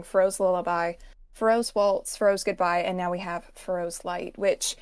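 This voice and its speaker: A woman's voice: medium pitch, darkish tone, dry recording. Enthusiastic woman explaining music, dry sound. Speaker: podcast guest, music expert. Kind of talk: casual explanatory music analysis.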